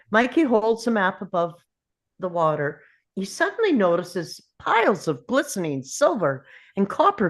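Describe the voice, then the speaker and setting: A woman reading out the stage directions as narrator at a table read of a film script. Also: mid-range voice.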